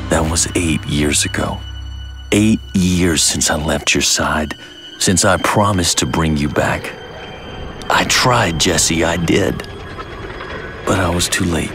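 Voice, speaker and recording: An actor playing a grim, battle-weary military captain in a sci-fi motion comic, terse and commanding. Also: male voice, low-pitched, slow pace, long pauses, over music. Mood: sorrowful, weary.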